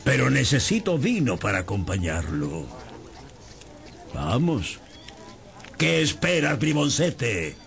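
An actor playing a cruel, cunning old blind beggar master in a radio drama, with a mocking, theatrical, scolding manner. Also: male voice, mid-range voice, wildly swooping pitch, slow, vocal fry, pauses often, a bit bright, exaggerated voice. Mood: gruff, bossy. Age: older. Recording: music bed behind voice.

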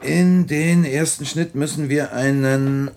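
An actor playing a brisk, dry radio editor at work in a radio play. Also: male voice, a little high, steady tone, vocal fry, darkish tone, a little hiss or hum. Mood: brisk, eager. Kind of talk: radio drama acting.

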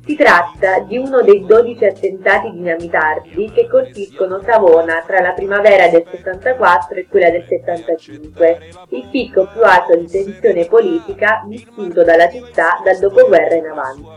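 A woman's voice: on the low side, moderate pace, faint music behind. A woman co-hosting a radio history programme, narrating from a script. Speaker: radio show co-host.

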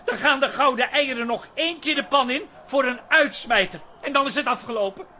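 An actor playing a brash, self-interested grown son in a radio comedy drama, blunt and needling. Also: male voice, very high-pitched, brisk, bright, forceful tone, exaggerated voice. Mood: scolding.

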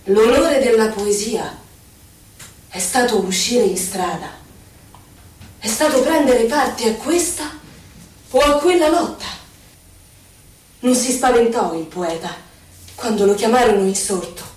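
An actress playing a solemn poetic narrator, reciting in measured, declamatory, weighted phrases for a radio drama. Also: female voice, a little high, expressive, a little mellow. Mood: solemn, rousing. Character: solemn female poetic narrator of political betrayal and struggle. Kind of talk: theatrical poetry recitation for radio. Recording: echoey, distant, noticeable noise.

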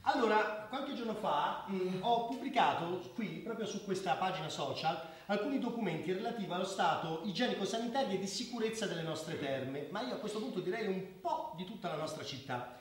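A man's voice: high voice, animated, a little fast, far from the mic. An actor playing a stubborn, restless middle-aged doctor and father in a naturalistic radio drama, insistent and a little hesitant. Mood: earnest, frustrated. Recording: very echoey room, faint background noise.

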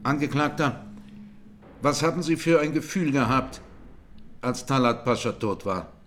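An actor playing a composed presiding judge, putting formal, probing questions in a radio courtroom drama. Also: male voice, medium pitch, unhurried, a bit bright, a little hiss or hum, natural voice.

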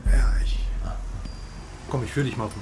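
An actor playing an excitable, chatty West Berlin journalist in a radio drama, halting and exclaiming as he talks to a friend. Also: medium pitch, loud music behind the voice, close to an everyday voice. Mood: chatty, showing off. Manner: halting, animated, swinging from murmur to exclamation. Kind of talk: naturalistic radio drama dialogue.

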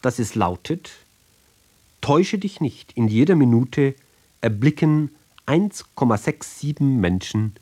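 A man giving a literary reading of narrative poems, with deliberate, measured phrasing and pauses between short fragments. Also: medium pitch, lively intonation, dark tone, breathy edge.

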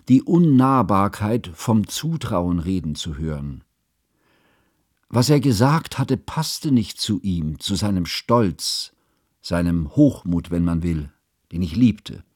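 A man's voice: fairly low pitch, a little mellow, slightly rough, exaggerated voice. An actor playing a devoted, cultivated humanist who narrates a literary radio drama about his friend in a formal, reflective way.

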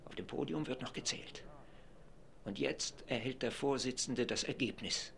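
A man's voice: mid-range voice, moderate pace, vocal fry. An actor playing a male radio reporter giving live commentary from a 1947 vote in a radio play. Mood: measured. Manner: steady commentary, swelling to excited announcing. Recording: crowd and noise behind. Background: assembly hall crowd murmur.

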